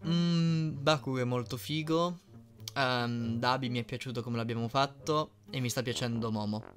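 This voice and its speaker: A man's voice: mid-range voice, fairly even intonation, normal speed. A man in his early twenties, chatting casually as he reads and answers questions. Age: early 20s. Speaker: YouTube fandubber answering fan questions. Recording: music playing behind. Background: music.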